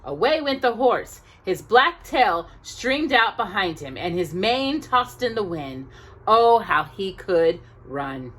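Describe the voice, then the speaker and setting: A woman reading a children's story aloud expressively, as if to young listeners, pausing to check in with them. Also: medium pitch, sing-song, a bit bright, slightly rough. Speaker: storytime reader.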